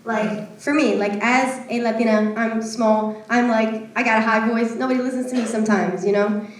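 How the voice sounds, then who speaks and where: A woman's voice: a little high, steady tone, moderate pace, a little hiss or hum. A young woman in a casual discussion who talks chattily and fills her speech with "like". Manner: chatty, heavy use of "like". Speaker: audience participant. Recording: echoey hall from far off.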